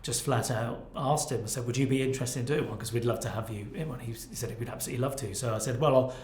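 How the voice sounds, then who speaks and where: A man's voice: medium pitch, rapid-fire, darkish tone. Hesitant man in an echoey, noisy room. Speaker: producer at the helm of the series. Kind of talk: casual interview talk. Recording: echoey room with clear background noise.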